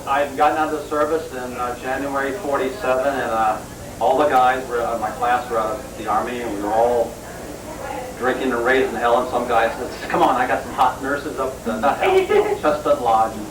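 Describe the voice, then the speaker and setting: A man's voice: mid-range voice, animated, a little fast, a crowd in the background. A man in his sixties telling his family story in a rambling, joking way, with many fillers. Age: 60s. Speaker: family member introducing himself. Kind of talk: casual recorded family introductions. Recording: echoey room, mic far off, noisy.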